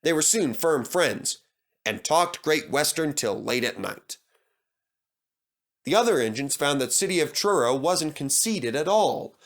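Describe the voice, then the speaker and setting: Male storyteller, lively swinging intonation, slightly high, children's book narration. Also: measured pace.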